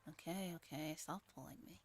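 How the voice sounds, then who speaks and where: A woman voicing a calm, practical teenage boy who explains things matter-of-factly, in a visual novel reading. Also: sits low, normal speed, a little hiss or hum, pitched below the performer's own voice. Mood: resigned.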